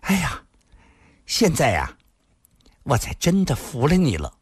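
Man putting on a coy, sly seductress with breathy giggles. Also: a little high, wildly swooping pitch, measured pace, no room sound, studio quality, pitched above the performer's own voice, exaggerated voice. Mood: flattering, teasing.

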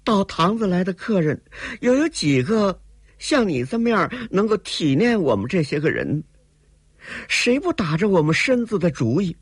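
A male storyteller voicing a soft, pleading young courtesan. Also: fairly high pitch, very animated, measured pace, husky, no echo, clean studio sound, voice pushed up, exaggerated voice. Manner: coaxing, tearful pleading.

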